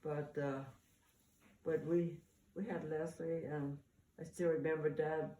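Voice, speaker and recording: A woman's voice: sits low, level tone, measured pace, warm tone, lo-fi sound. An older woman shares family memories in a casual, conversational way, often restarting as she recalls details. She is recorded at a distance in an echoey room, with faint noise behind her. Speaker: mother sharing family memories. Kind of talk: casual oral-history reminiscence.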